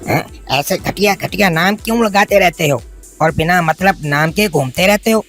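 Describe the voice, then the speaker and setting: A woman's voice: fairly low pitch, moderate pace, natural voice. A woman playing a cruel, scornful schoolteacher in a cartoon story, bossy and hectoring in the way she talks. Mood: scornful, angry. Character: cruel, scornful, greedy woman schoolteacher who terrorises children. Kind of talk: Hindi cartoon story voice acting. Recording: music behind the voice. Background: faint music.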